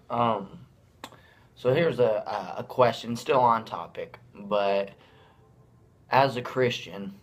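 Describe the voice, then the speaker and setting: A young man interviewing a fellow student, asking loose, rambling questions and sharing his own thoughts. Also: fairly low pitch. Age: young adult. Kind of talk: casual interview.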